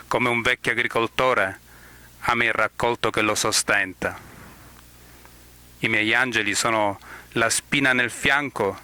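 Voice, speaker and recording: A man with a Sicilian accent reciting poetry in a declamatory manner, in measured phrases with pauses. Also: mid-range voice, pushed and bright. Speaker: poet.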